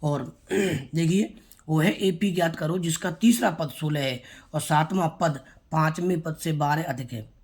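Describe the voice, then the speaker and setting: A man teaching a school math lesson, with a sore throat he keeps clearing. He speaks straight to the children and repeats each step. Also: on the high side, unhurried, a little mellow. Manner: repetitive, explains step by step, addresses the children directly. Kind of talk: classroom math lesson for students.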